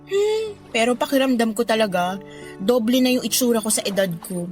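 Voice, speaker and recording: A woman's voice: on the high side, animated, fairly quick, darkish tone, close to an everyday voice. An actress playing a discontented, flirty mother of thirty who confides in a Filipino accent, in a conversational radio drama style. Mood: coy, weary. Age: 30s. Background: background music.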